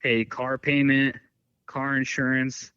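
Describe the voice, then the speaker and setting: A man's voice: medium pitch, level tone, slow, bright, forceful tone, clear, resonant tone, no echo. A man chatting casually as a podcast guest, telling loose stories full of fillers. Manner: rambling, filler-heavy storytelling. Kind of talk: casual chat. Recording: dull, dry lo-fi sound.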